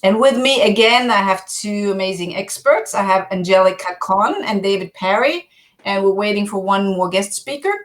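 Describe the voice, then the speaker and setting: A woman hosting a live online talk show, upbeat and quick to interject and laugh. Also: mid-range voice, animated. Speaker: show host. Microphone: video call.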